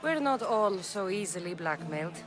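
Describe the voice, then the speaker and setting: A woman's voice: mid-range voice, animated, moderate pace, over loud music, close to an everyday voice. A woman playing a tough, protective young mercenary in a video game, dry and defiant. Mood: stern, reassuring.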